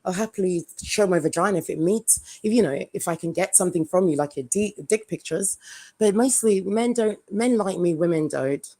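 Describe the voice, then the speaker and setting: A woman from Jamaica with an English accent, chatting bluntly as a guest on a casual live stream, with dark humor. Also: lowish voice, normal speed.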